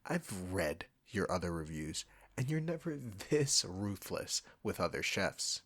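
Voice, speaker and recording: Deep-voiced, irritable male chef, acted by a man. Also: sing-song, a little slow, fairly bright tone, full character voice. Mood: angry, defensive. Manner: condescending, combative, sarcastic, swearing. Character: proud, defensive, irritable male restaurant chef. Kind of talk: scripted audio roleplay addressed to the listener.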